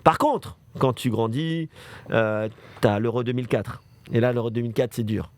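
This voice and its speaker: A man's voice: medium pitch, moderate pace, darkish tone. A man in his thirties from France, a football show presenter, telling stories in casual talk and repeating phrases for emphasis. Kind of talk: casual chat on a livestream.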